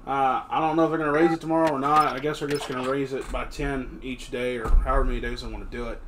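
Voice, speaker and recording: A man's voice: medium pitch, steady tone, quick pace, noisy background. A man with a head cold, giving rambling, casual commentary while he plays a shooter game. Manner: rambling, mutters to himself. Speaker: gaming channel host. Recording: game audio with loud gunfire.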